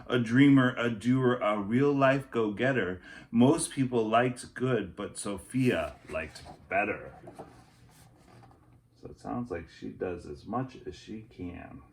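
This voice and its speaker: A man teacher reading a picture book aloud to children, with expressive, emphatic delivery and softer, chatty asides. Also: lowish voice, slow, vocal fry, slight background noise.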